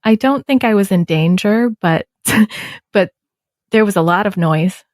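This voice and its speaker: A woman in her thirties hosting an interview podcast, speaking in a measured, reflective way. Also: mid-range voice, darkish tone, dry recording, studio quality. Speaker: podcast host. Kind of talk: conversational interview.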